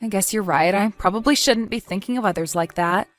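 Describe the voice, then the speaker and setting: A woman's voice: mid-range voice, brisk, soft background music, close to an everyday voice. A woman playing a caring, cautious and practical wife, fussing conversationally in an audio drama. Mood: curious, casual.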